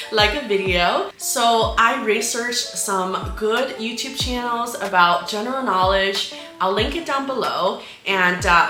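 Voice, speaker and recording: A woman's voice: mid-range voice, roomy sound. A woman from China in her thirties, talking casually to camera in a vlog while congested from a cold, chatty with many fillers. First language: Chinese. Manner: chatty, with frequent fillers and soft laughs. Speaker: English-teaching YouTuber.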